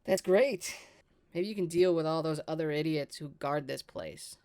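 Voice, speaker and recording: A woman's voice: low voice, moderate pace, a little mellow, natural voice. A woman acting a brash, sardonic young woman in an audio drama, with a dry, teasing manner. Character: brash, teasing young woman, bored with small-town life.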